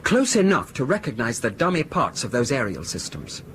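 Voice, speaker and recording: An actor in a radio drama playing an earnest, suspicious analyst who argues insistently and precisely. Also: male voice, mid-range voice, huge pitch swings, normal speed, a bit bright, slight background noise. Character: earnest, suspicious male Cold War analyst.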